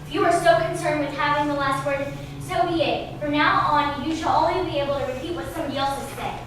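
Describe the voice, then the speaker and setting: A woman's voice: sits high, fairly even intonation, quick pace, lightly acted. Stern, vengeful divine queen for stage reading, played by a woman; far-off mic, noisy echoing room. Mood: scolding. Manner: scolding, imperious. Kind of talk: readers theatre stage performance.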